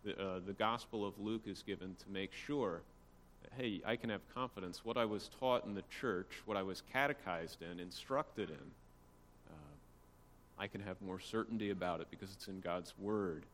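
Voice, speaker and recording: A man's voice: deep voice, moderate pace, fairly bright tone. A man giving an informal church history lecture, explaining things conversationally with casual asides. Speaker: church teacher. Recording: long hall reverb, faint background noise.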